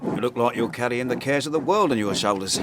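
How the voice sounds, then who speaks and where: A man's voice: mid-range voice, expressive, fast, lightly acted. An actor playing a forward, insinuating male stranger in a period radio drama. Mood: familiar, insinuating. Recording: loud background noise, like a vehicle.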